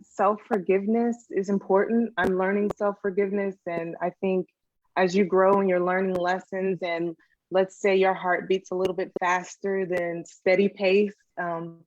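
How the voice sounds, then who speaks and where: A woman guest reflects sincerely, pausing between phrases. Her intonation stays quite flat, and the recording is dry and slightly muffled. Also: mid-range voice, no room sound. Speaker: guest giving advice. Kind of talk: reflective unscripted interview answer.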